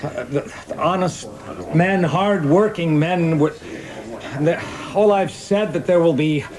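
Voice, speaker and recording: Blustery attorney general, radio play, highish male voice, halting speechifying, crowd noise behind. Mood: flustered, stammering. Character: blustering American Attorney General, angry, stammering under pressure. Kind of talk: dramatic radio play acting.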